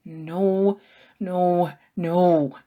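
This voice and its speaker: A woman's voice: fairly low pitch, steady tone, very slow, phone-quality sound. A woman playing a wounded young male thief in an audiobook, sullen and stubborn, with a thin, wispy voice. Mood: weak, protesting.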